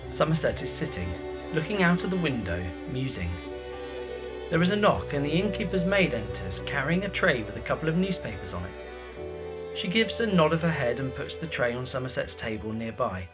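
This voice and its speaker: A man narrates drama scenes from a script in the present tense. His mid-pitched voice is animated, rising and falling widely. Faint music sits behind it. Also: normal speed.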